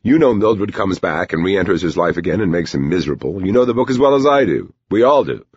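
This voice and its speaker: A wry small-town doctor , acted out by a male narrator in an audiobook. He sounds amiable and quietly amused, a little lifted from a deep register, with a husky, animated delivery. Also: a little fast, dry recording, highs cut off.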